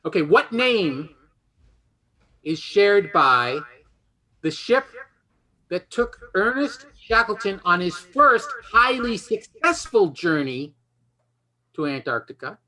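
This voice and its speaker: Bright, high-voiced man hosting a game show. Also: sing-song, slow pace, long pauses, rough recording.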